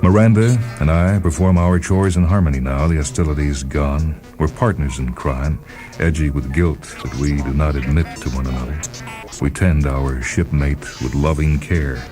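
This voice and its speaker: A man with an extremely low-pitched American voice narrates fiction in a measured, grave way. His tone is dark and soft-edged, and he places each phrase deliberately. Music plays behind him. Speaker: radio host and story reader. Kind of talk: dramatic scripted short-story narration.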